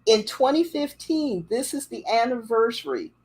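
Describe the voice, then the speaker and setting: A woman's voice: a little high, expressive, moderate pace, darkish tone, breathy edge. A woman hosting a livestream, chatting casually and telling stories. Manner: rambling, chatty storytelling. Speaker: livestream host. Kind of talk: casual chat. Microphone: livestream setup.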